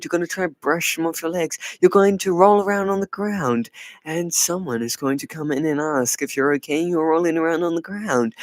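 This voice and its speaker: Male streamer, playful and teasing, soft higher voice, dry close sound. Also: no echo. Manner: playful, teasing, answers chat; soft, light put-on voice. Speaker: livestream host. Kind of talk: casual live chat with viewers.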